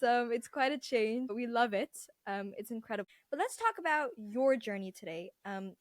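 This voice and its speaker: A woman's voice: high voice, no echo, clean studio sound. A young adult woman hosting an interview, friendly and upbeat, who sometimes laughs as she talks. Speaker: podcast host. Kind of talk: interview host.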